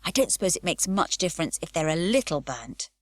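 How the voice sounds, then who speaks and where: A woman's voice: fairly low pitch, sing-song, a little fast, no room sound, studio quality. A woman voicing a fearless, cheerful nine-year-old girl who talks boldly and gleefully. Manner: bold, gleeful and carefree.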